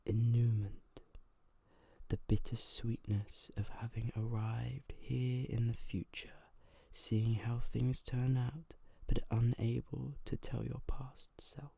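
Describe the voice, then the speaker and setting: An ASMR host reading aloud in a soft, breathy whisper, gentle and hushed, close to the microphone. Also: medium pitch, little pitch movement, slow pace. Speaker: host of an ASMR video channel.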